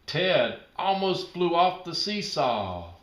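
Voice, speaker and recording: A man narrating a children's storybook aloud in an expressive, emphatic storytelling manner. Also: on the high side, slow, roomy sound.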